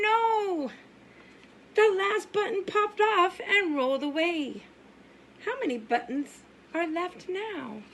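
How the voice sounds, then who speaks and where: High-voiced, slow woman reading to preschoolers. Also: fairly bright tone, a little hiss or hum. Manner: sing-song, exaggerated, asks the listeners questions and pauses. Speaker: preschool teacher reading aloud. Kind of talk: animated children's story time read-aloud.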